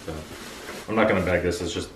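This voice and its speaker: A man in his twenties with an American accent, chatting casually in a low-key, matter-of-fact way as a vlogger. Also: fairly even intonation, quick pace, over a noisy crowd. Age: 20s. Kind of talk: casual grocery haul chat. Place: open kitchen and dining room at home. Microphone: camera a few feet away. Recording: echoey room, clear background noise, rustling clicks.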